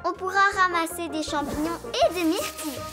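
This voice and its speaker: A woman's voice: extremely high pitch, fairly even intonation, brisk, music in the background. A woman voicing an eager, confident, bossy little girl elephant for a children's cartoon. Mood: eager, chirpy.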